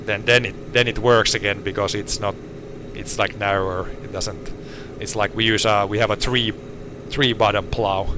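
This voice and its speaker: Laid-back farmer, low voice. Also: male voice, steady tone, background noise. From Finland. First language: Swedish. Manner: halting, restarts phrases, laid-back. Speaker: organic farmer. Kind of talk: casual chat while tractoring. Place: tractor cab.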